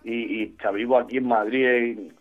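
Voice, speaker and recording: A man's voice: mid-range voice, steady tone, moderate pace, faint music behind. A young man from Mallorca, a musician talking casually in an interview, rambling and repeating words with slangy asides. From Mallorca, Spain. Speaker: guest musician. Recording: tinny phone-like audio.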